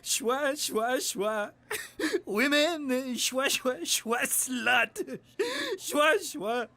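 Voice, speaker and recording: A man's voice: very high-pitched, sing-song, very slow, bright, forceful tone, husky, no room sound, heavily acted. A man voicing a drunk, lonely man in a cartoon, crying and slurring his words. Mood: blubbering. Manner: slurred, blubbering. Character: sobbing, drunk, lonely man babbling nonsense.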